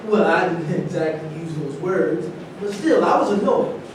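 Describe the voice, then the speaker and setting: A man's voice: lively intonation, moderate pace, lightly acted. A man playing an argumentative, embittered former football star in a staged reading of a play. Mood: annoyed. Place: large reverberant room at a staged reading. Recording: very echoey hall, distant mic, rumbling noise.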